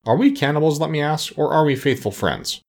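A man voicing a principled, blunt tin woodman in a children's storybook, speaking in a formal, high-minded manner. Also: sing-song, fast, breathy edge. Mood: shocked.

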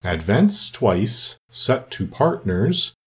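Man doing a slow, mournful, sentimental turtle creature. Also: fairly low pitch, very animated, heavily acted. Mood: excited, plaintive. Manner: slow, drawn-out, mournful delivery. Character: weepy, sentimental old sea turtle creature, male. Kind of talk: children's storybook character voice.

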